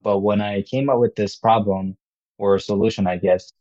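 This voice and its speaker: A man's voice: on the low side, steady tone, normal speed, warm tone, no room sound. A young man from Korea, a startup founder, answering interview questions in an earnest, upbeat way. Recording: dull, muffled sound.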